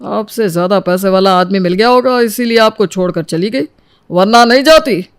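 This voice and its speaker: A man playing a high-voiced, judgmental male lawyer. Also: moderate pace, smooth, no room sound, studio quality. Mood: disapproving. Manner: insinuating, disapproving. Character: judgmental, gossipy male divorce lawyer stirring up resentment.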